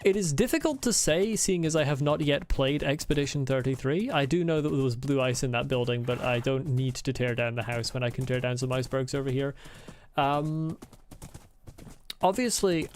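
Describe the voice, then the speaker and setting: A man's voice: mid-range voice, normal speed, slight background noise. A man with a mild Scottish accent, chatting casually while streaming a game, rambling and swearing bluntly as he rants. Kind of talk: casual live-stream chat while gaming.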